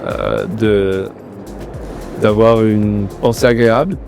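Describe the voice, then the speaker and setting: A man's voice: lowish voice, steady tone, takes it slowly, vocal fry, a little mellow, faint music behind. A man, born in Argentina and raised in France, answering interview questions about his artwork with thoughtful, hesitant phrasing. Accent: no regional accent. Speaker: exhibiting artist, interview guest. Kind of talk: casual interview at an art fair.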